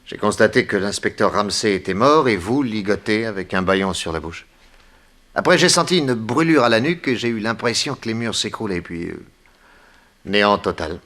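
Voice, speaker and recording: Wry beat-up detective from an old sixties radio play, lowish voice, theatrical, always questioning. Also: male voice, lively intonation, normal speed, a bit bright. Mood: suspicious. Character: wry, battered male detective held captive. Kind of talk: 1960s French radio drama acting.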